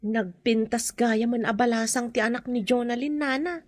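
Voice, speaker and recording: An actress plays a soft-hearted younger woman in a radio drama, pleading and concerned. She has a Filipino accent and a somewhat high voice. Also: moderate pace, dry recording, clean studio sound, close to an everyday voice.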